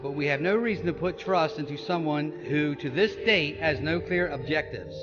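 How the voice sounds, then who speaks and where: A man's voice: fairly high pitch, moderate pace, dull audio, over music, natural voice. A man playing a stern, distrustful military police prosecutor in an audio drama, formal and argumentative. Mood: distrustful, insistent. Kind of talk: fan audio drama acting.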